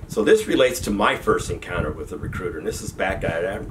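A man's voice: low voice, animated, quick pace. A man in his fifties with a cold, telling army stories in a rambling, conversational way, his voice nasal and stuffy. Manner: rambling, conversational, self-correcting. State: recovering from a cold. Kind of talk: casual storytelling to webcam. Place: small room at home, indoors. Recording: distant mic with background hiss.

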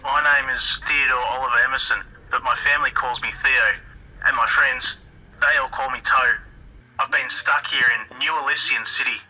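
An actor playing a trapped, frantic man who is losing his memories, pleading in a rushed audio log. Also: medium pitch, steady tone, bright, forceful tone, husky, poor audio. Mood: desperate.

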